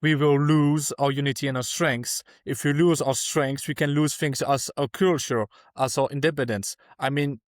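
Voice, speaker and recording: Man, from France, earnest, restarts sentences, mid pitch, dry close mic. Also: fairly even intonation, moderate pace, few pauses, no room sound. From France (Alsace). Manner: earnest, searching for words, frequent restarts. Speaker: guest activist. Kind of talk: podcast interview answers.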